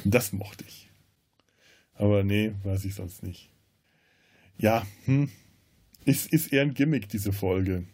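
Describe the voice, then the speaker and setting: Guy on a film podcast, mellow n husky voice, casual, keeps restarting his phrases. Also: fairly low pitch, takes it slowly, pauses often. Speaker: podcast co-host.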